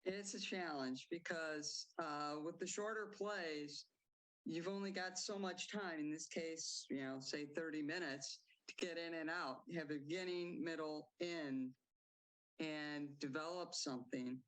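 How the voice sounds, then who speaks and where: Slow, halting woman playwright. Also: low-pitched, fairly bright tone, rough recording. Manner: halting, cuts off words and restarts. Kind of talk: interview answers.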